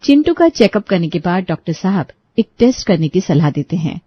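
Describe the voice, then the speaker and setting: A woman's voice: lowish voice, very animated, brisk, slightly rough, dry recording, dull audio. A woman narrating a scripted moral bedtime story in Hindi as the storyteller.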